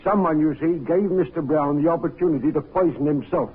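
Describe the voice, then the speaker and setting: A courteous police inspector in a vintage radio drama. His voice is fairly high for a man and a little rough, with a muffled, narrow old-recording sound. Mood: formal. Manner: courteous, measured questioning. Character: polite, methodical male Scotland Yard police inspector. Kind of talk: 1950s radio drama acting.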